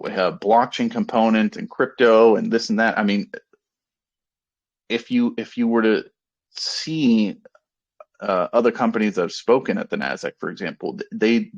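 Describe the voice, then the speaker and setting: A man in his thirties with a low voice and a heavy creak in it. He speaks as an executive updating investors informally, in a halting, rambling way, often circling back to rephrase. The sound is dull and lo-fi, as on a conference call. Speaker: company executive. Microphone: conference call line.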